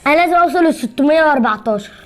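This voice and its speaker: A woman's voice: sits high, animated, racing, soft background music. A woman with an Egyptian Arabic accent giving casual gameplay commentary, teasing and boasting as a channel host.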